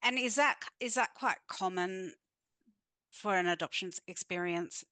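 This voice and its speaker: A woman's voice: mid-range voice, a little slow, dry recording. A woman hosting a podcast interview over a remote call, with a hesitant, filler-filled way of asking questions. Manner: warm, hesitant, lots of fillers. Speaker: podcast host. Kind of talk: casual interview. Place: in Sydney.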